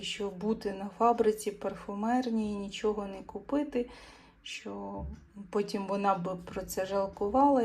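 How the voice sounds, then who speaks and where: A woman's voice: medium pitch, mellow. A woman filming a casual travel vlog, talking to her viewers as friends in a personal, chatty way. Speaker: travel vlogger.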